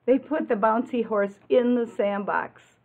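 Slow-paced older American woman reading aloud. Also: fairly high pitch. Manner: expressive, deliberate, asks listeners tag questions. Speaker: teacher reading a picture book aloud. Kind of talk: children's read-aloud with explanatory asides. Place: classroom, indoors. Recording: muffled, lo-fi webcam-like sound.

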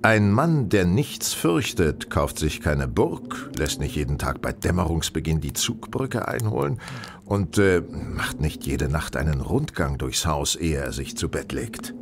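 An actor playing a shrewd gentleman detective in a radio play, questioning politely, precisely and insistently. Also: a bass voice, very animated, a little slow, vocal fry, full character voice. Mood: probing, dry.

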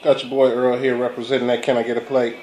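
Man, 30s, easygoing cook pitching his team, loose rambling delivery, close phone recording at home. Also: flat delivery, fast talker, darkish tone, a little hiss or hum, poor audio. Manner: laid-back, rambling with fillers. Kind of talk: casual audition pitch. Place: small home living room. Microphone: handheld phone camera close up.

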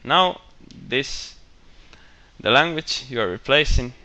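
A man walking through a software screencast, explaining each step in a steady, matter-of-fact way with bits of enthusiasm. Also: medium pitch, slow pace. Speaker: software tutorial presenter. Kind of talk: screencast walkthrough narration.

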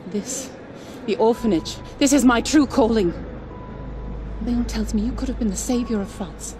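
An actress playing a gentle, sorrowful middle-aged French orphanage keeper in a video game, soft and reflective. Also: female voice, a little high, moderate pace, noisy background, lightly acted. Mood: solemn. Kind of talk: video game cutscene acting.

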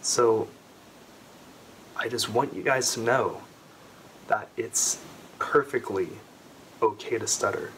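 A man's voice: fairly low pitch, takes it slowly, pauses often, a bit bright, slight background noise, rough recording. A young man in his twenties who stutters, talking openly to the camera in his small bedroom. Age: 20s. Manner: stutters, with repeated sounds and restarts. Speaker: vlogger. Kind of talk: heartfelt personal talk to camera. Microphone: camera in front at close range.

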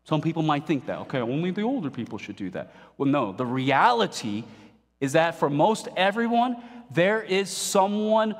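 A man in his forties preaching a sermon from a pulpit, animated and playful, asking the congregation questions. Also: fairly high pitch, normal speed. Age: 40s. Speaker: pastor. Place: church stage at a pulpit, indoors. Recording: long reverb of a hall.

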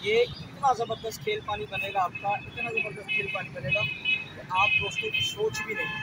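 A man with a Pakistani accent presents an informal tutorial in a high-pitched voice. He explains emphatically, often repeating his phrases. The mic sits at a distance, and there is clear background noise. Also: flat delivery, moderate pace. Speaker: angler presenting a fishing tutorial. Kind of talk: informal how-to vlog narration. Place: outdoors in a park, later another spot after leaving it. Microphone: phone camera, some distance away.

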